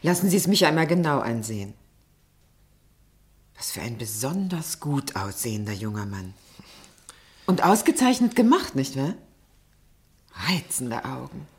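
A poised lady confidante in a vintage radio drama. Her voice is very low for a woman, and she questions with composure and gentle irony. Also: sing-song, a little slow, pauses often, full character voice. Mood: curious, admiring. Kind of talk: 1960s German radio play acting.

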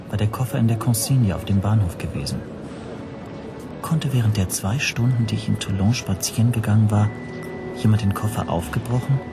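A man's voice: on the low side, natural voice. An actor playing an anxious stateless man who narrates his own ordeal in a brooding, self-questioning way for a German radio drama. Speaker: first-person narrator and protagonist.

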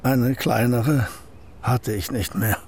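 A man's voice: mid-range voice, fairly even intonation, slow pace, a little mellow, slightly rough. An actor playing an aging, reclusive male painter who answers in terse, clipped replies in a radio drama. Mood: guarded.

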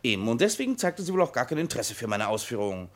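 A man's voice: mid-range voice, very animated, racing, natural voice. An actor playing a talkative, slightly flustered insurance salesman in a vintage radio crime drama. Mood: amused.

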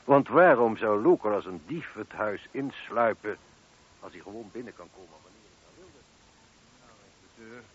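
A man's voice: lowish voice, animated, unhurried, pauses often. A man acting a methodical police inspector in a Dutch radio play. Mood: pressing. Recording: dull, muffled old radio recording.

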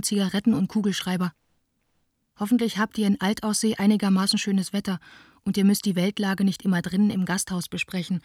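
A young woman , played by an actress, reads her private letters in a radio play. Her voice is soft and mellow, with very little rise and fall. She stays intimate and understated, in a dry, close sound. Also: mid-range voice, no echo, natural voice. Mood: matter-of-fact. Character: Anna, young woman stage director writing intimate letters.

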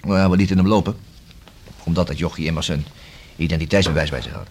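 An actor in a Dutch radio drama playing a probing police inspector, courteous but blunt, speaking in a deliberate, explanatory way. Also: male voice, rumbling low, a little mellow, a little hiss or hum. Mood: explaining, intent.

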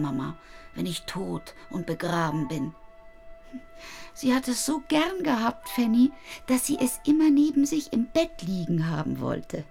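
A woman's voice: mid-range voice, vocal fry, a little mellow. An actress playing a plaintive middle-aged mother, sentimental and chiding, in a literary radio drama. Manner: lamenting, sentimental.

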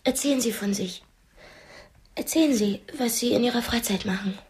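High-voiced, brave young female captive played by a woman. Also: moderate pace, darkish tone, lightly acted. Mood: probing. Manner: direct, articulate, persuasive. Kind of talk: radio drama acting.

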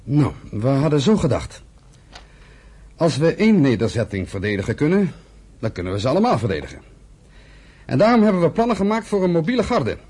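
An actor playing a militant, impatient pioneer settler in a Dutch radio play, arguing forcefully with his brother. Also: fairly high pitch, wildly swooping pitch, fairly quick, pauses often, husky, exaggerated voice. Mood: persuasive. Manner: forceful, argumentative, scornful.

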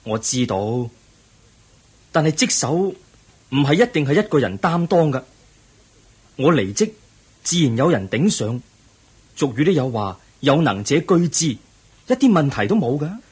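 Husky, pensive young prince. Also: male voice, medium pitch, sing-song, a little slow, pauses often, a little mellow, heavily acted. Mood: calm, resolute. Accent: Hong Kong Cantonese. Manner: earnest, reflective, formal. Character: pensive young crown prince, weary of palace pleasures. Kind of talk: radio drama acting.

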